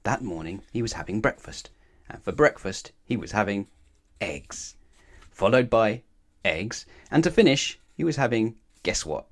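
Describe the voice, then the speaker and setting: A man reading a children's story aloud in an expressive, animated way, with emphatic phrasing aimed at the listener. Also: on the low side, a little slow. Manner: animated, asks the listener questions, emphatic repetition.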